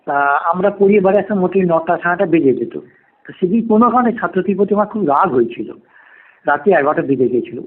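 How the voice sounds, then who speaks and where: A man's voice: sits high, lively intonation, fast. A man, telling a ghost story in the first person over a lo-fi call recording. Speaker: podcast guest, private tutor. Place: remote call to a podcast. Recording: dull, lo-fi phone call recording.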